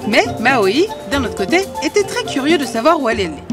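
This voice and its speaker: A woman's voice: high-pitched, very animated, brisk. A woman narrating a scripted fairy tale as a storyteller. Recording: music behind the voice.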